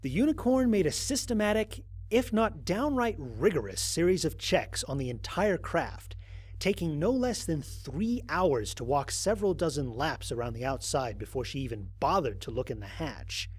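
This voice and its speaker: Male actor as astronaut, husky voice, lively swooping pitch, weary and wry, dramatic mission log narration, audio drama. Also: normal speed. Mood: wry, animated.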